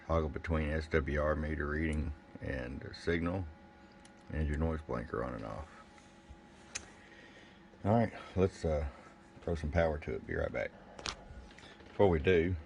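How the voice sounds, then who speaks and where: A man giving a casual bench review of gear, plain-spoken and thinking aloud as he goes. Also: rumbling low. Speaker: product reviewer. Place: indoor electronics workbench. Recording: faint background noise.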